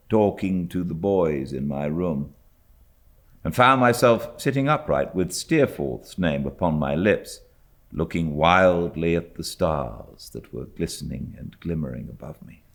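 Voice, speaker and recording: A British man with a British accent reading classic literature aloud as an audiobook narrator. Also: a bass voice. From Britain.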